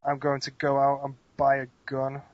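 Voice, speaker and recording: A man's voice: medium pitch, monotone, normal speed, lo-fi sound, slight background noise. A British man co-hosting a podcast in casual banter, laid-back with dry, teasing humor. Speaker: podcast co-host.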